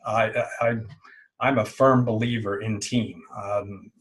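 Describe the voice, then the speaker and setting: A middle-aged man being interviewed, talking casually and haltingly, often repeating words and phrases as he explains things. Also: lowish voice, steady tone. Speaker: interview guest, online business owner.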